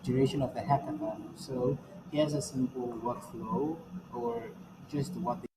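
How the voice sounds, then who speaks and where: A man narrating his hackathon team's product demo video with measured explanation. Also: medium pitch, steady tone, noisy background. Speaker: hackathon team presenter in submission video.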